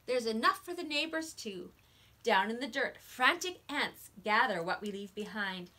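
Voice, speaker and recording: A woman with a slightly high voice reads a storybook aloud for young children. Her delivery is expressive and swings widely in pitch, as a teacher's does when stressing the key words of a tale. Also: normal speed. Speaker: teacher reading picture books aloud.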